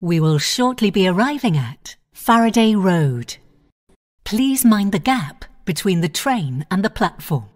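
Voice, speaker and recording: Woman, onboard train announcer; slow, even delivery with a lively rise and fall, station-by-station phrasing. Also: mid-range voice. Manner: measured, clipped station-by-station phrasing.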